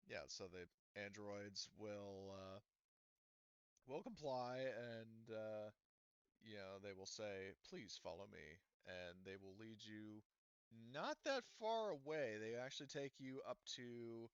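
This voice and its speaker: Male game master, casual and rambling with fillers, smooth tone, lo-fi sound. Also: on the low side, slow, no echo. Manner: rambling, full of fillers and restarts, casual swearing. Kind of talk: running a tabletop roleplaying game. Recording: dull lo-fi recording, dry.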